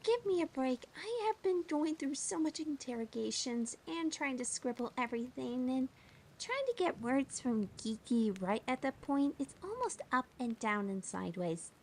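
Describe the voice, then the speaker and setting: A woman narrating a fantasy audio drama in a slow, soft, measured way. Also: high-pitched, animated, a little hiss or hum, poor audio.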